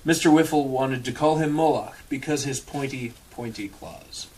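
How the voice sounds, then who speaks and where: A man's voice: mid-range voice, normal speed, darkish tone. A man reading a storybook aloud with casual asides in a dry, irreverent manner, sometimes swearing. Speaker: storyteller reading a picture book aloud to an audience.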